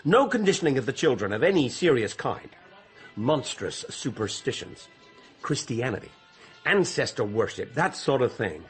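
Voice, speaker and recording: Actor as a pompous, animated male official. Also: medium pitch, normal speed, faint music behind, lightly acted. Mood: grimly relishing. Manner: pompous, droning official recital with throat-clearing. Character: officious, long-winded, condescending reservation warden. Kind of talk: radio drama acting.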